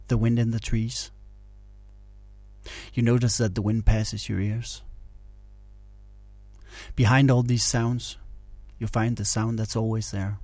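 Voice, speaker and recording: A man guiding a meditation in a calm, soothing, instructional way. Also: on the low side, dry recording. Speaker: meditation guide. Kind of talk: guided meditation narration.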